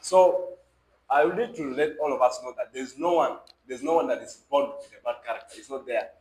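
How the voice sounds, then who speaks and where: A man's voice: mid-range voice, a little fast, a little mellow. A young man from South Sudan with a South Sudanese accent gives his opinion conversationally, emphatically checking that the listener follows. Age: young adult. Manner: emphatic, repeatedly checks listener understands with questions. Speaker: podcast guest. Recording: roomy echo with faint background noise.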